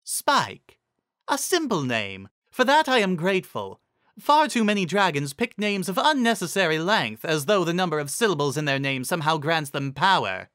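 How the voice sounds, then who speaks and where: A man voicing an imperious, quick-tempered female alicorn queen in a fantasy story, with grand archaic, commanding speech. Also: fairly high pitch, sing-song, a bit bright, dry recording, clean studio sound, voice pushed up. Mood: condescending.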